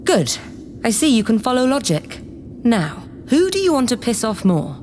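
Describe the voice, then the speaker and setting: A woman's voice: fairly high pitch, sing-song, moderate pace, darkish tone, slight background noise. A woman playing an imperious, scheming acting leader of a spaceship in a sci-fi comedy audio drama, commanding, condescending and sarcastic. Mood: scheming, threatening.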